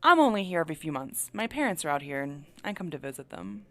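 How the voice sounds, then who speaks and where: A woman playing a confident, flirtatious academic in an audio drama, teasing with a playful deadpan. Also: low voice, fast talker. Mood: teasing, casual.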